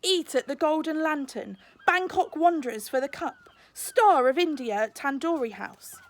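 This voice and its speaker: A woman's voice: high voice, lively intonation, moderate pace, voice pushed up. A woman voicing a bad-tempered male elephant master, roaring and indignant, in a children's storybook.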